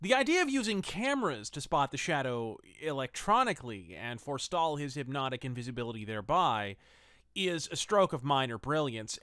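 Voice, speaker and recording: A man's voice: fairly high pitch, a bit bright, dry recording, clean studio sound. A man hosting a review podcast, with theatrical, wordy and wry semi-scripted commentary.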